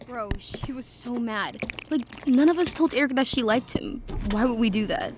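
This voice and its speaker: Teenage girl played by a woman: highish, sarcastic, breezy gossip, casual family audio drama. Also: animated, moderate pace, noisy background, close to an everyday voice. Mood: gossipy. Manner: slangy, sarcastic.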